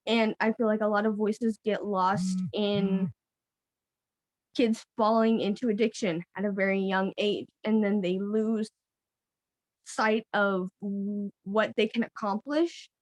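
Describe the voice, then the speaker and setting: A woman's voice: mid-range voice, steady tone, slow, no echo. Young woman panelist, heartfelt and plain-spoken, with a dry, close sound. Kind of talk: panel discussion.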